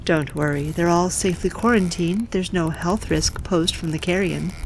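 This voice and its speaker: A woman's voice: lowish voice, darkish tone, slight background noise, lightly acted. Woman as poised, commanding occupation official. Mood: smooth, self-assured. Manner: formal, measured, commanding. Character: poised, authoritative female occupation official, coolly persuasive. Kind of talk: science fiction audio drama acting.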